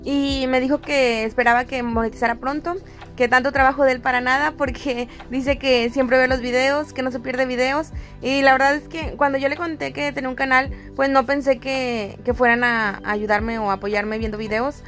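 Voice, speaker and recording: A woman's voice: sits high, fairly even intonation, moderate pace, a bit bright, soft background music. A woman with a Mexican accent giving a casual, chatty, friendly voice-over as a home decor vlogger.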